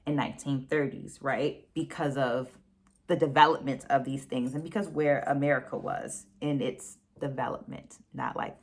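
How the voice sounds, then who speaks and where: Low-voiced woman hosting a book vlog. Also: moderate pace. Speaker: book review host. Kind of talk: book discussion to camera, reading quotes aloud.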